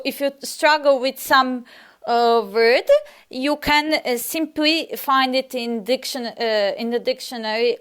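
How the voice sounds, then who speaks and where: A woman's voice: a little high, a bit bright, clear, resonant tone. A woman whose first language is Serbian, giving a casual language lesson and answering a viewer, with frequent hesitations and restarts. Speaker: language teacher.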